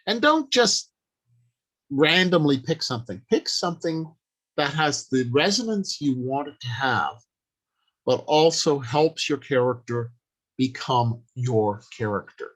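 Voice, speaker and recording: An older man presenting an informal instructional talk in a halting, deliberate, explanatory way. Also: medium pitch, expressive, fairly bright tone. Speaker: workshop presenter.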